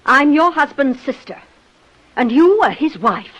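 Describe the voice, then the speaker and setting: A woman's voice: high voice, lively intonation, measured pace, a bit bright, highs cut off. A woman playing a jealous, vengeful sister-in-law with intense, melodramatic 1950s radio acting. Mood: confrontational.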